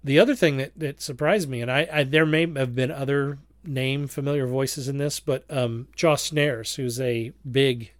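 A man's voice: mid-range voice, steady tone, moderate pace, hardly any pauses, no room sound, clean studio sound. A man hosting a casual review discussion, feeling his way through his points in a hesitant, circling way. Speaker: podcast host.

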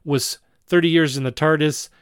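A man hosting a podcast in a casual review chat, with a halting, rambling delivery in which he often corrects himself. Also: a little high, steady tone, clean tone. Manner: chuckles while talking. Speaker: podcast host.

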